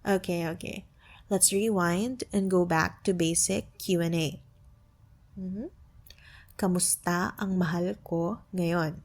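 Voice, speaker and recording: A young woman with a Filipino accent playing an affectionate, playful girlfriend in a soft ASMR roleplay, patiently tutoring her partner. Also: lowish voice, steady tone. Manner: teasing, tender, patient, explains words slowly. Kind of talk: soft ASMR roleplay, Tagalog lesson mixed with English.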